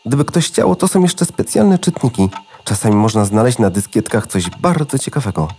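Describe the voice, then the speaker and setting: A man's voice: mid-range voice, animated, normal speed, darkish tone, a little hiss or hum, natural voice. A man as a theatrical, wistful old floppy disk. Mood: wistful, explanatory. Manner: old-fashioned, courteous, melodramatic. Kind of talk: children's audio drama acting.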